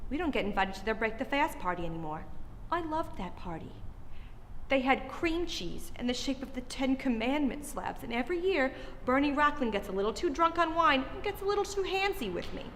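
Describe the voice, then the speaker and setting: Exasperated nagging mom, shrill sarcastic scolding, bright edge, played by a woman. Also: sing-song, fairly quick, long reverb tail, slight background noise. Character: exasperated nagging middle-aged mother.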